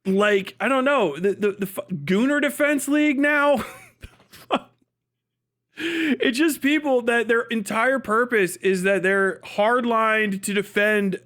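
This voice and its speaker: High-pitched, blunt, profane American man ranting. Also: slow pace, fairly bright tone. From United States. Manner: emphatic, acts out mock dialogue. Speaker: livestream commentator. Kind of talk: unscripted livestream rant.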